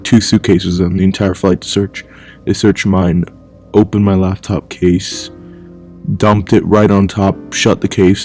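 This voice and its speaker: A man talking bluntly in a casual monologue for his vlog, rambling and swearing freely, sometimes speaking low and hushed at home at night. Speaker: vlogger.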